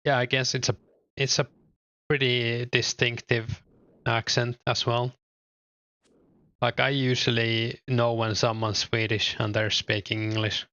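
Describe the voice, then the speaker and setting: A man's voice: mid-range voice, measured pace, clean tone, no echo, lo-fi sound. A young man with a Finnish accent, chatting casually and a bit hesitantly while gaming and reading his chat aloud. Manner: relaxed, hesitant, lots of fillers. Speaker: streamer.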